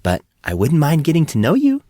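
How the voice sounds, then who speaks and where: A man's voice: on the high side, wildly swooping pitch, brisk, dark tone, no room sound, clean studio sound, voice pushed up. A man voicing a bespectacled teenage schoolgirl in an audiobook, girlish and frilly, by turns forlorn and coy.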